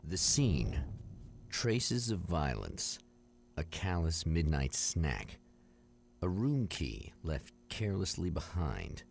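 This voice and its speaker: Eerie anthology-show narrator: bottomless gravelly bass, portentous, deliberate, theatrical, noisy background. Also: male voice, very animated, a little mellow, full character voice. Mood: grave, ominous. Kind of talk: dramatic scripted narration in 1960s mystery-TV manner.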